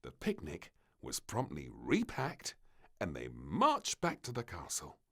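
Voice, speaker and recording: Casting: male children's story narrator, unhurried and dramatic, hugely animated pitch swings. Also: vocal fry. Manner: expressive, dramatic. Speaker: storybook reader.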